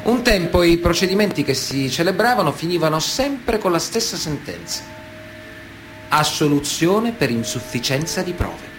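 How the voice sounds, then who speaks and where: An actor playing a proud, evasive man of honour in a radio play, with deliberate, sententious delivery. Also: on the high side, very animated. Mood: proud, solemn. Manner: deliberate, sententious, with weighty pauses. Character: proud, evasive Sicilian mafioso, a self-styled man of honour. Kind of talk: theatrical monologue in a radio play. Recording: music under the voice. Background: music.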